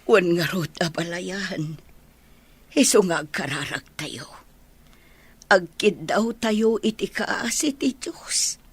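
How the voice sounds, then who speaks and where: Woman acting a husky-voiced worried older village mother. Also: on the low side, expressive, takes it slowly, long pauses, no echo, heavily acted. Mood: worried, prayerful. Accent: Ilocano. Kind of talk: Ilocano radio drama acting.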